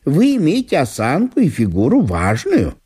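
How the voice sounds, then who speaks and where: Guy doing a fussy-polite small town gentleman, coaxing, super lively pitch, bit husky. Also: normal speed, exaggerated voice. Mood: persuasive, coaxing. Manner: ornate, courteous, persuasive. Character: smooth-talking, wheedling, dignified small-town gentleman, Ivan Ivanovich. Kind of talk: radio literary solo performance.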